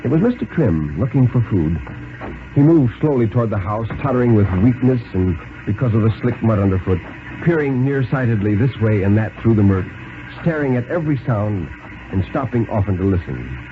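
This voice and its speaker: Expressive male radio thriller narrator. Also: fairly low pitch. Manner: dramatic, deliberate. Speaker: narrator and dramatic reader. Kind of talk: 1950s radio thriller storytelling, scripted. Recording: lo-fi 1950s radio, hissy.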